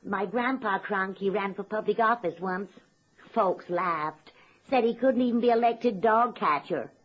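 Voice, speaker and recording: A woman's voice: medium pitch, measured pace, breathy edge. An actress playing a blunt, folksy working-class woman running for office in a radio comedy. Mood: folksy, plucky. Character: plain-spoken, folksy, feisty working-class woman candidate. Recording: dull lo-fi old radio recording.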